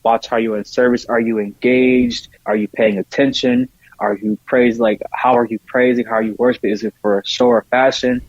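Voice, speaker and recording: A man's voice: medium pitch, little pitch movement, normal speed, no room sound, dull audio. A man speaking casually and earnestly as a podcast guest, often repeating points for emphasis. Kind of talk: casual conversation.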